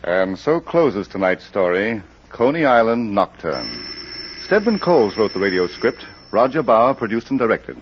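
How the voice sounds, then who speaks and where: An actor playing a genial, courteous crime club librarian who hosts a 1940s radio show in a measured, theatrical announcing style. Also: male voice, on the low side, sing-song. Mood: measured, formal. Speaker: host and announcer. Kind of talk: 1940s radio announcing, half of a phone call. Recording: muffled old radio recording, light hiss.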